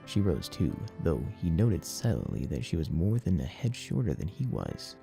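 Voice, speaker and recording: A man narrating dramatic fiction in a solemn, deliberate storytelling manner. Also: low-pitched, sing-song, creaky, dark tone. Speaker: audiobook narrator. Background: music.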